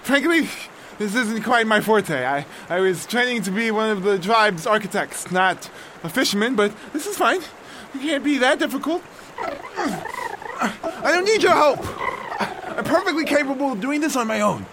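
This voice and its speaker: Proud stranded male survivor played by an actor, haughty and halting, highly animated delivery for audio fiction, noisy background. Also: heavily acted. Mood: defensive. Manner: haughty, halting, talks to unheard companions. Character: proud stranded male castaway, bossy, grudging, talking to creatures. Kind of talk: dramatic audio fiction monologue, survival log.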